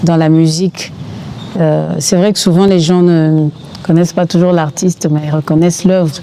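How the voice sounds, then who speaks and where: Mellow middle-aged Congolese woman, noisy background. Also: sits low, little pitch movement, moderate pace. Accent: Congolese French. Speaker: gospel singer, interview guest. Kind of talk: unscripted interview talk. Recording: clear background noise.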